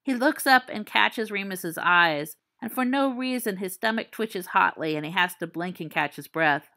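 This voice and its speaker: A woman reading fan fiction aloud as an audiobook, in a calm, measured, soft manner. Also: medium pitch. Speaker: podfic reader.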